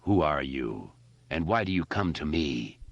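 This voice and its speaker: A man voicing an ancient, evil monster in an audiobook, speaking in a slow, looming and contemptuous way. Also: very deep voice, sing-song, no echo, dull audio, heavily acted. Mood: menacing. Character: ancient evil male cosmic monster, cold and menacing. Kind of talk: audiobook character voice.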